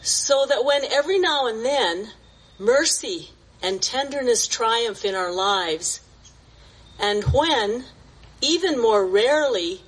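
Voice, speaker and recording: Woman, 70s, slow and gentle, reading verse at an outdoor church service. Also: on the high side, animated, husky, poor audio. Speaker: church member sharing reflection. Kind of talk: reflective sharing and poem reading.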